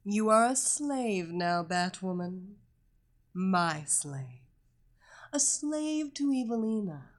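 A woman voicing a domineering blonde female hypnotist who gives slow, insistent commands. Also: mid-range voice, animated. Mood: commanding.

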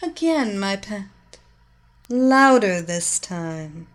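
A woman voicing a commanding female hypnotist villain who speaks in a slow, soft, lingering hypnotic cadence. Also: medium pitch, big pitch swings. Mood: coaxing. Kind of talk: hypnosis audio story acting.

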